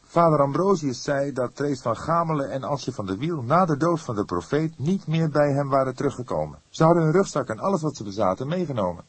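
A man voicing an anxious, excitable young man in an audiobook, earnest, breathless and insistent as he reports to the police. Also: mid-range voice, a little fast, slightly rough, no echo, voice pushed up.

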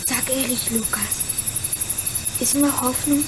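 A woman's voice: high voice, fairly even intonation, slow. A woman playing a brave, curious, earnest young boy in a German radio play, speaking simply and a little hesitantly. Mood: anxious, resolute. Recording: very noisy recording with crickets, frogs.

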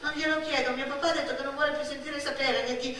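A woman voicing a cocky, excitable six-year-old boy who blusters and stumbles over words, in theatrical radio monologue style. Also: very high voice, fast, pitched above the performer's own voice. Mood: indignant, blustering. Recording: very echoey stage, distant mic, faint noise.

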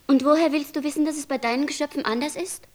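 Angel for poetic radio drama, female actor: very high voice, inquisitive, persistent, naive. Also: fairly even intonation, fast talker, darkish tone, natural voice. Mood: wondering. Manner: questioning, insistent. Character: curious, persistent angel guest, naively questioning. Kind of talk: poetic radio play dialogue.

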